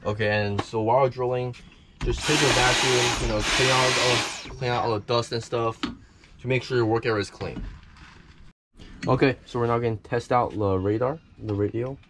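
A man's voice: medium pitch, steady tone, normal speed. A man giving a casual, step-by-step how-to narration as he works with his hands. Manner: plain instructional, talking while working with his hands. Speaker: host of a DIY boat how-to video. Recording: clearly noisy on-location recording.

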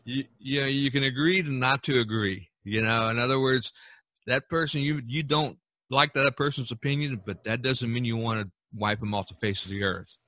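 An older man hosting a casual podcast discussion, speaking haltingly and repeating words as he searches for the next one. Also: mid-range voice, sharp tone, no echo. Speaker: podcast host.

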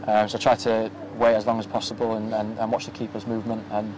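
Soft-spoken young footballer, low flat voice. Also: male voice, fast talker. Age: young adult. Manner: soft-spoken, many ums and restarts. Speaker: professional footballer. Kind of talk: post-match interview. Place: outdoors at a floodlit football ground at night. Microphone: handheld interview mic. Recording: outdoor ambience with faint noise.

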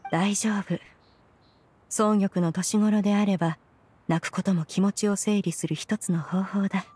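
An actress playing a caring, authoritative immortal woman in a game, calm and husky, with lofty archaic speech. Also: medium pitch. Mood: soothing, solemn. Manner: calm, measured, old-fashioned lofty speech. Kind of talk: Japanese game voice acting. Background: faint music.

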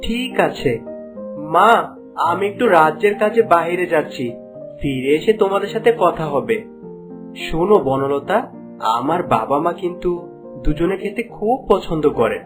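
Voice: mid-range voice, animated, moderate pace, pauses often, over music, close to an everyday voice. An actor voicing an earnest, polite young prince and new husband in a fairy-tale cartoon. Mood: reassuring.

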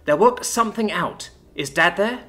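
A woman voicing a protective older sister forcing calm under dread in an audiobook, reassuring yet urgent. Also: fairly low pitch, normal speed, voice pushed up. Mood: forced calm. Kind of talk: audiobook character dialogue.

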